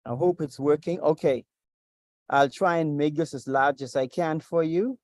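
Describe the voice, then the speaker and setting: A preacher speaks in a measured, deliberate way, reading and teaching over a video call. His voice sits at a middle pitch, and the sound is dry and close. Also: a little mellow, no room sound. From Bahamas. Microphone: video call with screen share.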